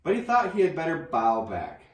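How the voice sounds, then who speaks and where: A man reading a children's novel aloud in an expressive storybook narration style. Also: on the high side, moderate pace. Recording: roomy echo, distant microphone.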